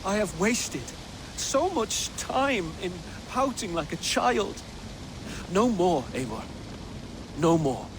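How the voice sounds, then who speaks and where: A shaken young warrior in a video game drama. His voice is high and slow, full of anguish and disillusion, with clear rainy ambience behind. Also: big pitch swings, noisy background, exaggerated voice. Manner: anguished, emotional.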